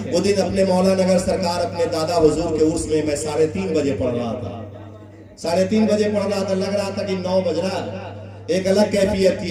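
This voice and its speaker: A man from Bihar, India, singing Sufi devotional verse on a live stage and teasing the crowd in quick chatty asides between verses. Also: fairly high pitch. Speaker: devotional singer. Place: live stage at a shrine festival. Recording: echoey live sound from a distance, loud music.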